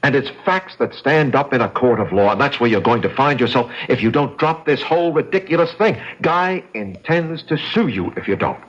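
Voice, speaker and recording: An actor playing an earnest, exasperated lawyer in a vintage mystery radio drama, reasoning and hesitant. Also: male voice, medium pitch, expressive, normal speed, few pauses, close to an everyday voice. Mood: stern. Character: earnest, exasperated male lawyer, sceptical fiancé. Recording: dull, lo-fi old radio recording.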